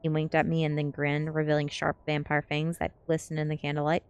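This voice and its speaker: A woman's voice: sits low, monotone, a little fast, clean tone. A woman reading a first-person story aloud as ASMR narration. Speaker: streamer reading a novel aloud.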